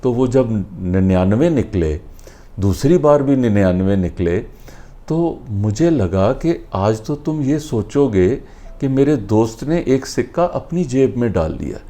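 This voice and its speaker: A man voicing an honest, considerate friend who speaks calmly and sincerely in a moral story. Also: lowish voice. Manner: calm, sincere.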